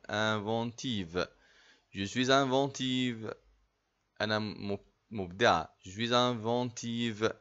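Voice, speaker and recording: A man with an Egyptian Arabic accent teaching French, repeating each phrase slowly and then explaining it quickly. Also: medium pitch, fairly bright tone, muffled recording. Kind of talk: slow repetitive language drill.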